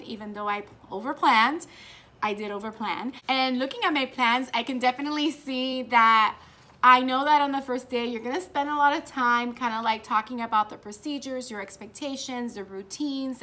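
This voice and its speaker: A young woman teacher chatting casually to the camera in her classroom, upbeat and excitable. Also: high-pitched, normal speed. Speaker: fourth grade teacher and vlogger. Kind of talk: casual vlog chat. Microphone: camera propped nearby.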